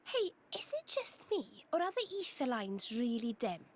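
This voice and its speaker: A feisty young cat-eared girl from a video game cutscene. She is high and very animated in pitch, with a cheeky, teasing manner. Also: moderate pace, a little hiss or hum. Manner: cheeky, lively. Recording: game music behind the voice.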